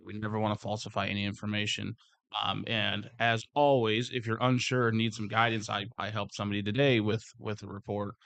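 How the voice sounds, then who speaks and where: A man with a slightly hoarse voice, speaking as a security supervisor in casual workplace talk, rambling and restarting his words. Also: fairly low pitch, flat delivery, a little fast, a bit bright, no echo. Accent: Midwestern American.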